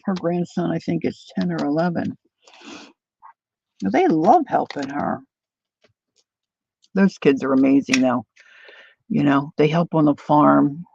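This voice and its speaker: An older woman chatting casually to viewers while she crafts, thinking out loud as she works. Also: low-pitched, slow pace, vocal fry, pauses often, slight background noise. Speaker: craft livestreamer. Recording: dull lo-fi dry sound.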